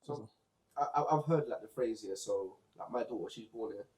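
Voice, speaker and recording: A man from Zimbabwe hosting a casual book discussion podcast, talking in a relaxed way with frequent restarts. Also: fairly low pitch, reverberant. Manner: relaxed, halting with repeated restarts. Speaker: podcast host.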